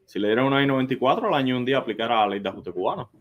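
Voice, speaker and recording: A man's voice: mid-range voice, lively intonation, racing, highs cut off. A man speaking as a guest immigration lawyer, giving plain-spoken, step-by-step legal advice on a live show.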